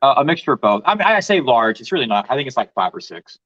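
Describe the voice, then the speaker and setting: A man chatting casually on a livestream in an easygoing, unhurried way. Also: medium pitch, sing-song, fairly bright tone, no echo. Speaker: livestream co-host. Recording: dull lo-fi mic.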